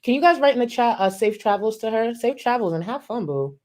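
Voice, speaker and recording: A woman's voice: on the high side, expressive, fairly quick, darkish tone. A woman streaming live, reacting to a video and reading out super chats with sassy, profane, mocking commentary and frequent laughter. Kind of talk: casual livestream commentary.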